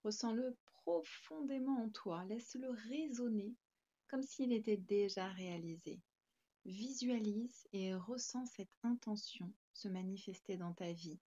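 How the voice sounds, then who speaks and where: A woman guiding a yoga nidra meditation in a calm, gentle, soothing manner with spaced instructions. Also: mid-range voice, a little slow, highs cut off.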